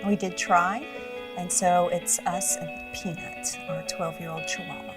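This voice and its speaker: A woman's voice: lowish voice, steady tone, a little slow. A woman in an interview speaking openly and heartfelt about her home life. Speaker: interviewee, rider's wife. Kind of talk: interview testimony. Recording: distant mic with music bed.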